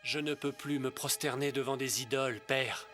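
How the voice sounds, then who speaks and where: A man's voice: mid-range voice, steady tone, normal speed, lightly acted. Guy as young cartoon prince, earnest and firm, mid voice, music behind. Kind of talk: dubbed animated film acting.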